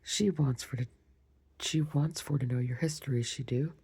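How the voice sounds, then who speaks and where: A whispering storyteller voicing a gruff, impatient male gryphon who drops his aitches and talks in rough, ungrammatical speech. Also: medium pitch, moderate pace, darkish tone, no echo. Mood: brusque.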